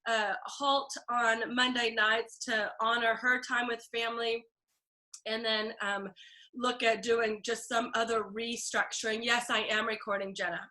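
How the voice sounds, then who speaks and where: Woman team leader on a video call, congested and hoarse-edged, high and bright, chatty with lots of ums. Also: level tone, unhurried. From Texas. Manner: upbeat, chatty, many ums and throat clearing. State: stuffy and scratchy throat, coughing.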